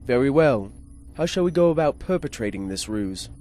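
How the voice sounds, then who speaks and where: An actor playing a polite, formal shipboard artificial intelligence with a gleeful murderous streak, in a 1950s-style space radio serial. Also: male voice, mid-range voice, animated, normal speed, warm tone, faint music behind, lightly acted. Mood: formal, eager. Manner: formal, courteous, precise wording. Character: polite, formal male ship's computer with murderous glee.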